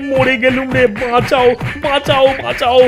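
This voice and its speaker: High-pitched blustering cartoon bear. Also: male voice, flat delivery, moderate pace, gravelly, over music. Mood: panicked. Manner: menacing, taunting, theatrical. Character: vengeful, greedy, blustering wooden-legged male bear. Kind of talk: cartoon fairy-tale acting.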